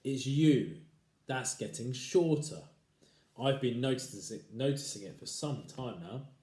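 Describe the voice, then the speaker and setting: A man voicing a cruel old husband who taunts his wife with loud, slow, gloating lines. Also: mid-range voice, big pitch swings, pitched above the performer's own voice, natural voice. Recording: echoey room.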